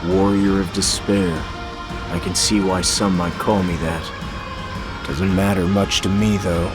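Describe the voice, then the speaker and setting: A blunt, defiant fantasy game warrior, talks in a very deep voice. He is laconic and stoic, shrugging things off in plain words. Music is clearly heard behind him. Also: little pitch movement, slow pace. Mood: musing, resolute. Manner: laconic, nonchalant, plain-spoken. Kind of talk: scripted video game acting.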